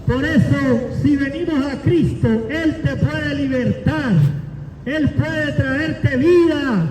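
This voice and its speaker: A man in his forties delivers a declamatory sermon, stacking up phrases and rising toward shouts. His voice sits very high for a man and his delivery is slow and deliberate. He is recorded from a distance in a roomy, echoing space with a long reverb and faint background noise. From Cuba. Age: 40s. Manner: declamatory, builds up lists and rises to shouts. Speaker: preacher. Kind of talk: sermon with scripture reading and altar call. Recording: echoey, long reverb, from afar.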